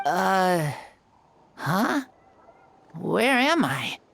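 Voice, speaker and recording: A woman's voice: lowish voice, big pitch swings, drawn-out words, vocal fry, a bit bright, slight background noise, heavily acted. A woman voicing an earnest, timid young child who speaks hesitantly, in an anime-style video game. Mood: dazed, confused.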